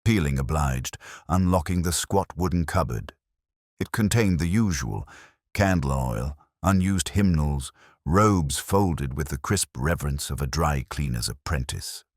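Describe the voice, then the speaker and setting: Really deep husky guy narrating a mystery story, wry and literary, lively intonation. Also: measured pace, no echo, studio quality. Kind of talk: scripted narration of a genteel English mystery.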